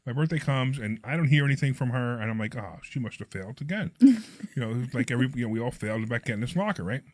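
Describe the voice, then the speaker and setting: Guest: middle-aged man, froggy hoarse voice, animated quick storyteller, dry close sound. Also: medium pitch, no echo. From Pennsylvania. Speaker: podcast guest, real estate investor. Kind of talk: casual storytelling chat.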